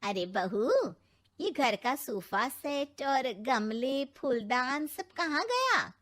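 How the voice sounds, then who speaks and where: A woman's voice: high voice, very animated, extremely slow, very noisy, heavily acted. A woman playing an outspoken middle-aged mother-in-law with a blunt, exclamatory manner in a cartoon story. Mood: scolding. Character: outspoken middle-aged Indian mother-in-law, sharp-tongued but approving. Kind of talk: cartoon moral story acting.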